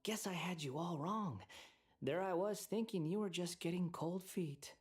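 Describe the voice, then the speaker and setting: Sardonic fallen young rogue. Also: male voice, high voice, a little slow, slightly rough. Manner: mocking, cool and cynical. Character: sardonic young man, a fallen former enforcer. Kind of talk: video game voice acting.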